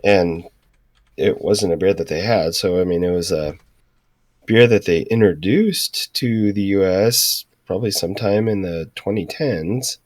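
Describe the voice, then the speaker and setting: A man with a low voice, a little thick from being sick. He chats loosely on a beer show, rambling and full of filler words. The sound is close and dry. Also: unhurried, a little mellow, no echo. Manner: rambling, hesitant, vamping with fillers. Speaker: podcast co-host. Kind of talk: casual chat.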